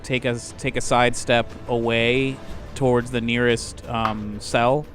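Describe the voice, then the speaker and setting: A man's voice: medium pitch, level tone, measured pace, fairly bright tone, faint music behind. A man playing a tabletop game, talking through plans and repeating himself as he thinks. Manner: talks through plans, stammers and repeats words. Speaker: player. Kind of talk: casual tabletop play.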